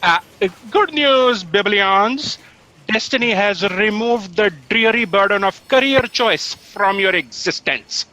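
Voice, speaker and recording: An actor playing a nervous but cheeky office underling in a sci-fi satire, hesitant and stammering. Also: male voice, high-pitched, expressive, takes it slowly, bright, forceful tone, heavily acted, low-quality recording. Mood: nervous, snide. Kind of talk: sci-fi satire audio drama.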